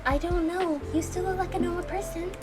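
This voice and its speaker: A woman's voice: very high voice, fairly even intonation, a little fast, loud music behind the voice, voice pushed up. A woman voicing a polite, curious young game heroine who asks puzzled questions.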